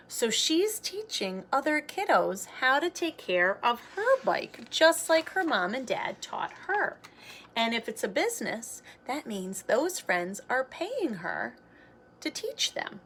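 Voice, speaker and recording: A woman's voice: on the high side, very animated, slow, a bit bright, a little hiss or hum. A woman reading a children's picture book aloud like a teacher, expressively, with simple explanatory asides.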